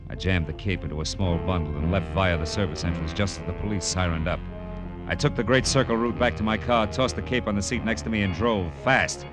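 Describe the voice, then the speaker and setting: A man's voice: low-pitched, brisk, natural voice. An American man playing a tough, world-weary private detective in an old radio noir drama, clipped and slangy, narrating and talking bluntly. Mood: brooding narration. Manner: clipped, hard-boiled, slangy. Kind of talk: 1940s-50s radio noir acting and first-person narration. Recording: vintage radio recording with music behind.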